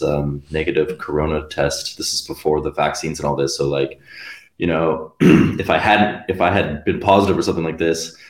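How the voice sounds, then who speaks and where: A young man from Canada, a guest musician chatting casually in an interview, rambling with lots of fillers and laughing between phrases. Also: extremely low pitch. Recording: roomy echoey room.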